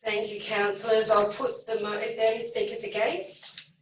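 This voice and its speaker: An Australian woman serves as mayor, running a council meeting through its formal steps with little pauses and fillers. She sits well back from the microphone. Her voice carries through an echoey chamber. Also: on the high side, little pitch movement, slight background noise. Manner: halting, procedural, with fillers. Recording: echoey room, far from the mic.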